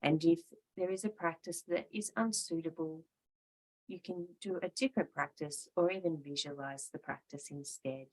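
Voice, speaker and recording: A woman teaching yoga and guided meditation, calm and measured, leaving pauses between short phrases. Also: low-pitched, poor audio.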